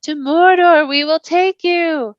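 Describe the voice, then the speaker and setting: Storybook villains: menacing undead horsemen, acted by a woman, high chanting taunts, dull dry recording. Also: steady tone, slow, no room sound. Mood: menacing, taunting. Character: menacing undead dark riders taunting their prey.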